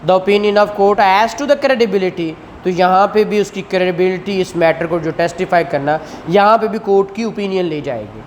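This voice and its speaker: A woman's voice: fairly low pitch, moderate pace, few pauses, darkish tone, slight background noise. A woman lecturing as a law teacher, reading out statute phrases and explaining them as she goes. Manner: explanatory, switches between English legal text and Urdu glosses.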